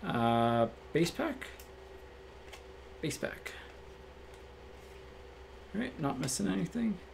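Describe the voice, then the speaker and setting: Slightly raspy man calling out cards. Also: fairly high pitch, takes it slowly, slight background noise. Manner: relaxed, matter-of-fact, thinks aloud. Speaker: card break host. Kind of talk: casual card-opening commentary. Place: indoor room at a card table.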